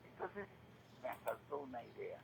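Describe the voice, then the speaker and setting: An older man giving a reflective radio monologue, halting and repeating words, with rhetorical questions. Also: medium pitch, very animated. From Spain. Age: 60s or older. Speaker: retired regular contributor giving commentary. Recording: clearly noisy radio feed.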